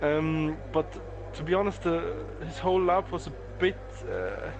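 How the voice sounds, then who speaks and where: A man's voice: on the high side, sing-song, measured pace, poor audio. Hesitant male co-commentator on a sim race. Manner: hesitant, filler-heavy color commentary.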